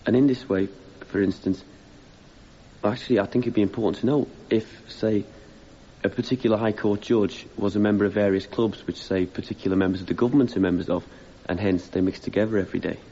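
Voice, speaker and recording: Man playing a low-voiced young activist defendant. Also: fairly even intonation, fairly quick, warm tone, lightly acted. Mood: thoughtful. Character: earnest young male activist defendant giving evidence. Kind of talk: radio drama testimony. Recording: dull, faintly noisy radio broadcast copy.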